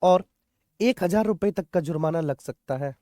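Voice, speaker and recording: A coaching teacher with a mid-pitched voice in a dry, close recording. The delivery is a classroom lecture that repeats key points, puts questions to students and addresses them like children. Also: normal speed, mellow, dry recording. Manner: repeats key points, often checks understanding. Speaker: teacher, exam coaching instructor.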